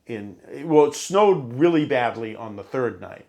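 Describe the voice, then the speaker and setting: A man's voice: mid-range voice, lively intonation, measured pace, a little mellow. Man chatting and telling stories casually. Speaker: interview guest, long-time idol fan. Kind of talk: casual chat, storytelling.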